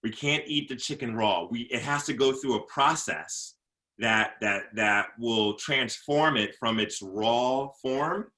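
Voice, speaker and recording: A man being interviewed as a motivational speaker. He talks earnestly, often repeats words as he gathers his thoughts, and slows down and goes lower when he talks about faith. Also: pushed and bright. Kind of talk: casual interview talk.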